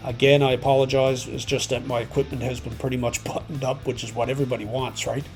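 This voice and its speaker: A man who hosts an amateur radio channel, talking to his subscribers in a casual, unscripted, halting and reflective way that rambles. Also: medium pitch, faint music behind. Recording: clear steady background noise.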